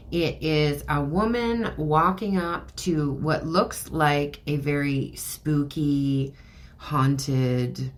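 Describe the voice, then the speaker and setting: A middle-aged woman hosting a casual spiritual podcast, reading oracle cards, chatty with many ums and laughs, a little hoarse as she is losing her voice. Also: sits low. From Canada. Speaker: podcast host and card reader.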